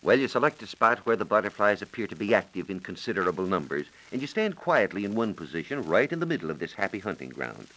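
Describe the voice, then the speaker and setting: An American man giving measured, precise instructions as a science reporter on a scripted 1940s radio program. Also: lowish voice, sing-song, bright, forceful tone, rough recording. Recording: 1940s radio broadcast recording.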